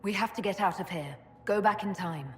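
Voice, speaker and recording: An actress playing an earnest, compassionate heroine in a video game, sincere and reassuring. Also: female voice, mid-range voice, normal speed, faint music behind, close to an everyday voice. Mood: determined. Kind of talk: dramatic video game cutscene acting. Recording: echoey hall, faint noise.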